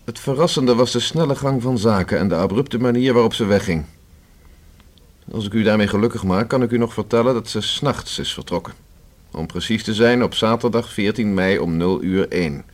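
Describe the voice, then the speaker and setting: An actor in a Dutch radio play playing a guarded, haughty gentleman under police questioning, speaking in a stiff, precise, formal way. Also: lowish voice, fairly quick, lightly acted. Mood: curt, irritated.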